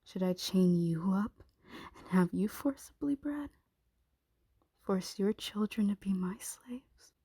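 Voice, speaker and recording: A woman playing a menacing, possessive dragon shifter queen in an ASMR roleplay, commanding and often slipping into breathy whispers. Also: medium pitch, animated, slow pace, dark tone, no echo, exaggerated voice. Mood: cold menace.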